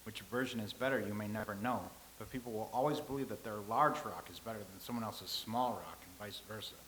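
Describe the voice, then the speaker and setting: A man reading the part of a calm, philosophical old-time scribe, speaking in a measured, lecturing way. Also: lowish voice, a little hiss or hum, close to an everyday voice, low-quality recording. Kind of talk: staged reading.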